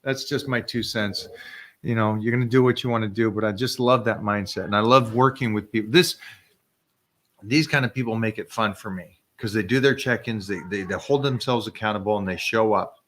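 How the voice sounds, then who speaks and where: Energetic man coaching on a live stream. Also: lowish voice, brisk. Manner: energetic, emphatic, repeats words when excited. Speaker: fitness coach host.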